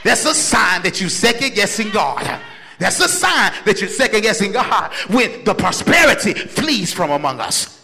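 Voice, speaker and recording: A man preaching a fiery sermon with emphatic, rhythmic repetition and colloquial phrasing, often rising into shouts and sing-song. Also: high-pitched, sing-song, normal speed, soft background music. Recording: echoey hall with long reverb.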